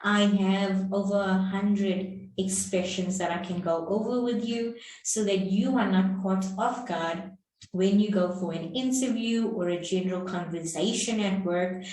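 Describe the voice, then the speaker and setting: A South African woman teaches English at a very unhurried pace, going back over each point. Her voice sits at a middle pitch, and she stands a little away from the mic in a very echoey room. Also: steady tone, a little mellow, far from the mic. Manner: slow, explanatory, repeats points. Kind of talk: online lesson.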